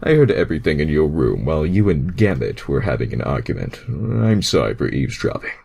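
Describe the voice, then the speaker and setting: A man's voice: a bass voice, very animated, fairly quick, vocal fry, darkish tone, husky, pitched below the performer's own voice, exaggerated voice. A man voicing a friendly, easily startled cartoon hedgehog hero in a fan fiction reading. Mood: kind, earnest.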